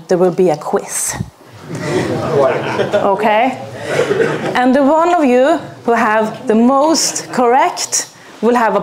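A Norwegian woman in her thirties gives a lecture in a small hall, picked up by a camera set back in the room. She talks slowly, with steady pauses and filler words, and turns questions to the audience as she goes. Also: on the high side. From Norway. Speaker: arboriculture teacher giving a talk. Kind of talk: presentation to an audience. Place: small hall with plywood walls and a projector screen. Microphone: far camera, no mic seen.

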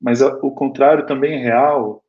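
Man, thirties, Brazilian accent, informal lecture, explanatory, conversational, medium pitch, dull lo-fi video call. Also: fairly even intonation, moderate pace. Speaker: spiritist lecturer. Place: small home room with bookshelves.

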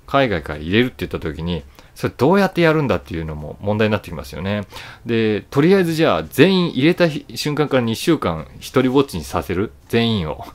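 Low-voiced man with lively, swinging intonation. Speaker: voice actor and YouTube host. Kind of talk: casual solo commentary to camera.

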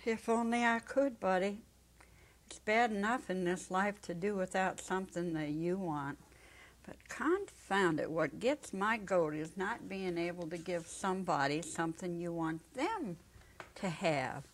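An elderly woman playing a warm, spirited, plain-spoken old country woman in a dramatic story reading, folksy and earnest. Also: on the low side, measured pace. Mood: wistful, determined. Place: indoor stage set, seated at a music stand.

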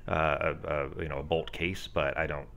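A man's voice: extremely low pitch, little pitch movement, moderate pace, a bit bright, muffled recording. A man playing in a tabletop game over voice chat, chatty and helpful as he explains the rules. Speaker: player. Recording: voice chat with faint hiss.

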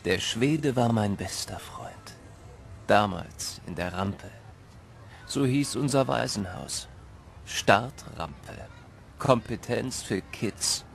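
Reflective guy telling his boyhood story, super gravelly, slow, wistful audio drama narrator. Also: lowish voice, animated, faint music behind, heavily acted. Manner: measured, reflective. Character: grown man wistfully recalling his orphanage boyhood.

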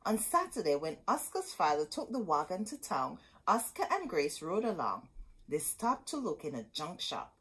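A woman's voice: fairly low pitch, wildly swooping pitch, moderate pace, a bit bright, slightly rough, poor audio. A middle-aged woman reading a children's picture book aloud in a dramatic, emphatic storytelling manner. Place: indoor room with bookshelves.

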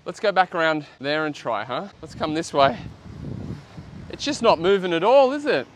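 A man in his thirties with an Australian accent, chatting casually and excitably as he hosts an outdoor vlog. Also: a little high, normal speed. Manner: excitable, exclaiming. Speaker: host of a magnet fishing vlog. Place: outdoors beside a canal. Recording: outdoor noise, traffic and ambience.

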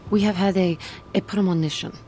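A woman's voice: medium pitch, steady tone, a little fast, mellow, a little hiss or hum, close to an everyday voice. An actress playing a grave female Jedi in a video game, solemn and earnest. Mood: grave concern. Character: grave Jedi companion warning of danger. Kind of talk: video game cutscene acting.

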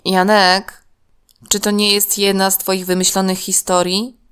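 A woman's voice: medium pitch, little pitch movement, a little slow, fairly bright tone, clean tone, close to an everyday voice. A woman voicing a principled, reproachful young girl in a children's story, firm and earnest. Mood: doubtful.

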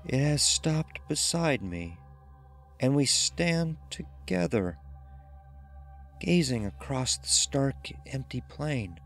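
A man's voice: medium pitch, very animated, a bit bright, soft background music, pitched above the performer's own voice, exaggerated voice. A man voicing a dying, dread-filled man in a horror audio drama, faltering and drawing out his words as if in a trance. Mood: fearful, faltering. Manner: slow, faltering, drawn-out.

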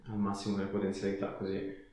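A man's voice: deep voice, monotone, quick pace, warm tone. A man, a chiropractor giving short, repeated hands-on instructions and chatting casually with a patient. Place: small indoor treatment room. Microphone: camera a few metres away. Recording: very echoey room, distant mic, background noise.

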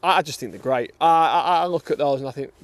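A man's voice: on the high side, fast, no room sound. A middle-aged British man chatting enthusiastically about fishing tackle outdoors on a lake bank, often repeating words as he starts a sentence. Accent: British English. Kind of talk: casual chat.

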